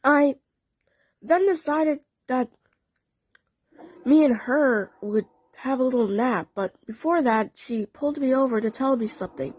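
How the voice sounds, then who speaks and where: High-pitched woman narrating a story. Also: a little slow, darkish tone, no room sound, low-quality recording. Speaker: narrator of a fan audio play. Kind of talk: scripted first-person story narration.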